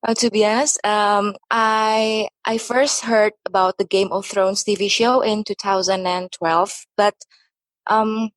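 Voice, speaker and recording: A woman from Indonesia, a podcast guest speaking English haltingly with many pauses. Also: mid-range voice, little pitch movement, dry recording. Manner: hesitant, many "uh" and restarts. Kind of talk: casual podcast chat.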